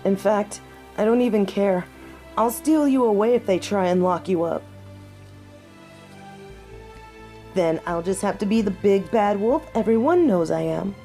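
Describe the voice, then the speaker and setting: A young woman playing a devoted half-wolf heroine in a romantic audio drama, speaking earnestly and tenderly with halting pauses, as if to one loved person. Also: medium pitch, lively intonation, mellow, soft background music, lightly acted. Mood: playful, reassuring. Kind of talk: romantic audio roleplay acting, intimate one-sided dialogue.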